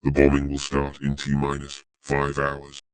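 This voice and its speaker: A synthetic male text-to-speech voice reading a formal, flat, scripted emergency alert announcement. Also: rumbling low, a little slow, heavy background noise.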